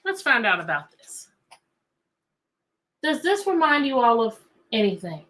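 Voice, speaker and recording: A woman teacher reading a picture book aloud to children, animated and coaxing, often repeating questions to draw out answers. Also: a little high, measured pace. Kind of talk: interactive children's read-aloud.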